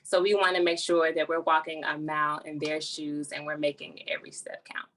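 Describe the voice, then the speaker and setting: A woman's voice: deep voice, little pitch movement, fairly quick, a bit bright. A woman speaking earnestly as a nonprofit founder, explaining her work as an interview guest.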